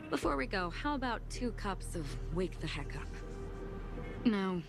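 An actress playing a calm, enigmatic swordswoman in a game, speaking in a composed, deliberate and solemn way. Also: medium pitch, creaky. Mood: earnest, calm. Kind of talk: scripted game dialogue. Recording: game dialogue over background music.

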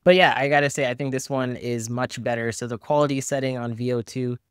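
A man's voice: medium pitch, steady tone, fairly quick, smooth, no room sound, clean studio sound. A man in his thirties hosting a tech review, explaining things in a candid, casual, conversational way. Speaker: tech review video host. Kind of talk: conversational software review and tutorial commentary. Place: small home studio room, indoors.

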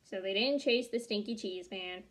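A woman's voice: mid-range voice, normal speed. A young adult woman narrating a children's storybook aloud in a small room at home. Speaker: storybook reader.

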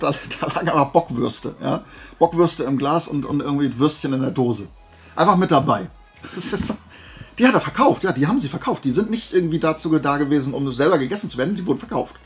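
A man's voice: mid-range voice, slightly rough. A man chatting casually to viewers as a video creator, colloquial and playful, wandering off into little digressions. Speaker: video creator presenting flea market finds. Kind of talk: casual rambling chat to viewers.

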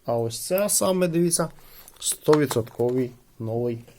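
A man's voice: medium pitch, animated, moderate pace, warm tone, slight background noise. A man giving casual, conversational unboxing commentary as a host, hesitating and leaning on filler phrases. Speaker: product unboxing host and reviewer.

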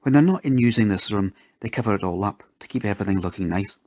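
A man's voice: fairly low pitch, lively intonation, quick pace, darkish tone, dry recording. A man voicing a prim, confident little girl from a well-to-do family, matter-of-fact and showing off in a storybook. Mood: dismissive.

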